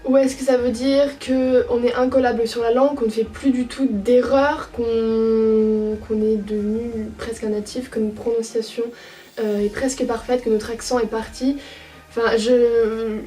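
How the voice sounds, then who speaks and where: A woman's voice: fairly high pitch, fairly even intonation, normal speed, warm tone, distant mic, soft background music, poor audio. Hesitant young French woman vlogging. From France. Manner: hesitant, many fillers and restarts. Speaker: au pair vlogger. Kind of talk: casual reflective monologue.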